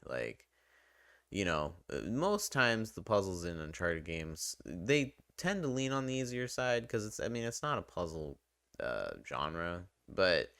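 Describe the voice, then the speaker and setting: Male game reviewer, deep voice, conversational and wry, very dry recording. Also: fairly bright tone, no room sound.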